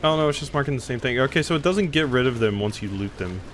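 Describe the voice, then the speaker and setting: A man's voice: mid-range voice, lively intonation, a bit bright, a little hiss or hum. Chatty male streamer with a light cold. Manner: chatty, rambling, quick reactions. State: slight cough from a cold. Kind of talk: casual gameplay commentary.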